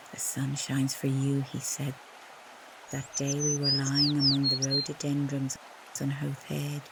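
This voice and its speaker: A woman's voice: low-pitched, pitched below the performer's own voice. A woman with an Irish accent playing a sensual, defiant wife lost in memories, in a dramatic literary stage monologue. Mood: tender, sensual. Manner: dramatic, unhurried, swinging between emphatic bursts and breathy murmurs. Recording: live concert recording with background noise.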